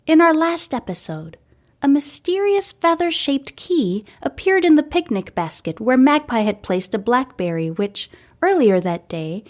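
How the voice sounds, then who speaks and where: A woman hosting and narrating a serialized story podcast, reading in storybook style. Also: a little high. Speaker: host and narrator. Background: music.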